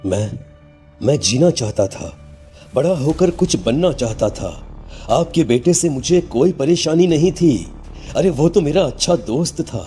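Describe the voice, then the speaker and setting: A man's voice: a little high, lively intonation, a little slow, warm tone, faint music behind. A man playing the sorrowful, reproachful ghost of a teenage boy in a cartoon horror story. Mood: wistful. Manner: mournful, reproachful.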